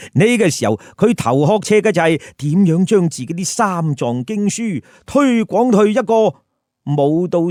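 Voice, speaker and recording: Expressive male storyteller, slow and animated. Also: on the high side, dry recording, clean studio sound. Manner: colloquial, rhetorical questions to listeners. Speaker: storyteller, host. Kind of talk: comedic storytelling with commentary.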